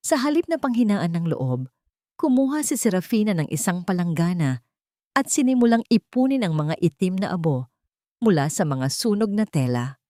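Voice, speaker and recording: A woman's voice: fairly low pitch, sing-song, moderate pace, warm tone, dry recording, studio quality. A woman reading a scripted, dramatic story as its narrator. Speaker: storyteller, narrator of love stories.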